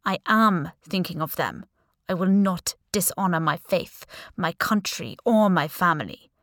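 A woman's voice: fairly low pitch, lively intonation, measured pace, a bit bright, no room sound, studio quality. A woman voicing a captive male sultan, proud and devout, speaking with indignant, solemn defiance.

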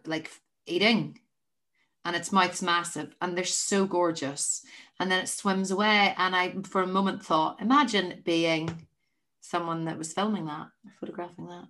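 Woman, deep voice, podcast host, casual chat, rambling, self-interrupting. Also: a bit bright.

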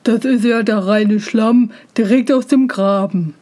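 A woman plays a haughty young man from a fairy tale. He talks slowly, with a pompous and condescending air, mocking in a conceited way. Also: fairly high pitch, steady tone, a little mellow, close to an everyday voice. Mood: disdainful. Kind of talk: fairy tale storybook reading.

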